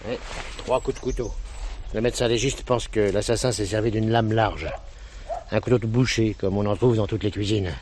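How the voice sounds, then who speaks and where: An actor playing a brisk, methodical gendarme chief in a French radio crime drama, questioning and reporting in an official, matter-of-fact way. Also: male voice, lowish voice, fairly even intonation, a little mellow, slight background noise, natural voice. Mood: matter-of-fact, explaining.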